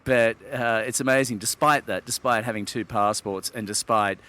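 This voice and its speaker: A man's voice: medium pitch, fairly even intonation, moderate pace, bright, forceful tone. A middle-aged man from Sydney, Australia, a wine expert guest, speaking in articulate, wordy sentences with hesitant fillers. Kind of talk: relaxed interview talk.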